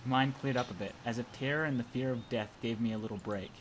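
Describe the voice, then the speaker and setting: A young man with a mid-pitched voice narrates a visual novel for a let's play. His reading is theatrical and playful, slipping between drama and casual joking. It is an amateur recording with clear noise behind it. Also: quick pace.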